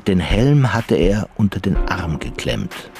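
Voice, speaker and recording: A man with a low-pitched voice reads scripted narration for a radio drama. Music plays clearly behind him. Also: big pitch swings, unhurried, darkish tone.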